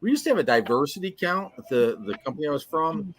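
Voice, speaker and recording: An American man from upstate New York speaking casually on a discussion panel, halting and repeating his words. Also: fairly high pitch, sing-song, dry recording. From upstate New York, United States.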